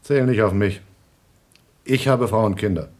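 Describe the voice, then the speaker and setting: An anxious, principled male astronaut in a radio drama, acted by a man. His voice is on the low side, and he speaks in clipped, reproachful phrases, a suspicious stickler for the rules. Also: a little slow, a little mellow, natural voice. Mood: guarded, principled.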